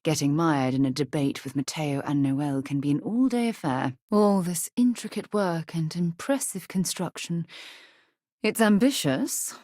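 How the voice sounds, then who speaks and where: A woman voice-acting a composed, principled space explorer and former military leader in a video game, speaking in an earnest, articulate, measured way. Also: low-pitched, lively intonation, darkish tone, no room sound, clean studio sound.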